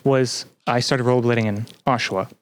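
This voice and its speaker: A man with a medium-pitched voice talks casually as a guest. His phrasing is a bit halting. He slips in dry, playful teasing. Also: fairly even intonation. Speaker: podcast guest, student representative. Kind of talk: casual chat.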